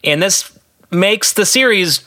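A man giving solo podcast commentary as an author and host, explaining a story in deliberate, emphatic phrases with dramatic pauses. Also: high-pitched, animated, bright, forceful tone.

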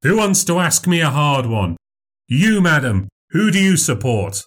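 A man's voice: fairly high pitch, big pitch swings, a little slow, pitched above the performer's own voice. A man voicing a formal, eager memory showman who keeps calling people sir and madam. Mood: showy, polite. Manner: showy, overly polite, says "sir" and "madam".

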